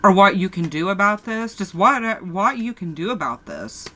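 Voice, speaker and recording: A woman's voice: medium pitch, animated, moderate pace, pushed and bright, a little hiss or hum. A woman with a sore throat giving a casual tarot reading, talking straight to her viewers and often restarting her sentences. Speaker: tarot reader.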